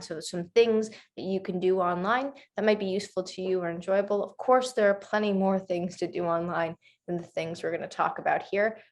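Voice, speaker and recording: A woman instructor giving a conversational beginners' lesson, explaining patiently step by step with simple examples. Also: fairly low pitch. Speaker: webinar instructor.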